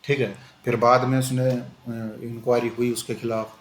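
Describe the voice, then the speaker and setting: A man teaches an exam-prep class in a relaxed, conversational way, drifting into slow, deliberate English phrases. His voice carries a noticeable room echo, with a faint hum of ambient noise behind it. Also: mid-range voice. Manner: conversational, repeats "ठीक है" often, switches into slow English phrases. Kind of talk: exam-prep lecture mixing Hindi and English.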